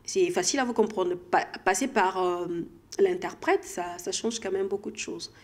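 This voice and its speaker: A woman's voice: medium pitch, normal speed. A woman from the Democratic Republic of the Congo with a Congolese accent, answering interview questions conversationally and hesitantly as she searches for words. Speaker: interview guest.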